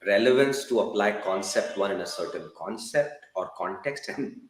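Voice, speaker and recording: A man with a deep voice answers reflectively as a podcast guest. He speaks conversationally. The room rings with echo, and faint noise sits behind him. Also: normal speed. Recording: echoey room with noticeable background noise.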